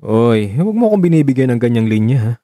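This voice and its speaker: Man acting a devoted, mellow-voiced Filipino boyfriend. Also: mid-range voice, sing-song, fast talker, dry recording, clean studio sound, natural voice. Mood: reassuring, protective. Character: devoted, protective young man caring for dying lover. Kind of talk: Filipino radio drama acting.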